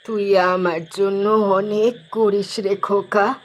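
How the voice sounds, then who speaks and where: A woman's voice: mid-range voice, flat delivery, slow pace, natural voice. A woman playing a frail, bedridden old mother in a cartoon, plaintive and resigned. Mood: tender, coaxing.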